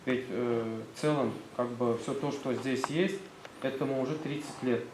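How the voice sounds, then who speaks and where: A man's voice: medium pitch, steady tone, moderate pace. Tatar-accented man, hesitant presenter. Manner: hesitant, many fillers. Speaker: school director. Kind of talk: presentation to visiting officials. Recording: roomy sound, faint background noise.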